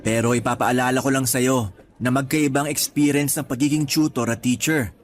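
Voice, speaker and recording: A man's voice: medium pitch, steady tone, moderate pace, lightly acted. A male actor playing a friendly, teasing young teacher in a radio romance drama, chatting casually. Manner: casual, teasing, conversational Taglish.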